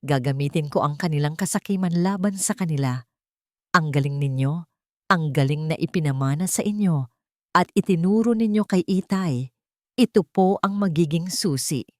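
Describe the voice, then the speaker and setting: A woman's voice: sits low, normal speed, no echo, close to an everyday voice. A determined young wife who has been wronged, acted by a woman narrator in an audio love story. She speaks earnestly and evenly, with cold resolve. The sound is close and dry. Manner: earnest, steady, unwavering.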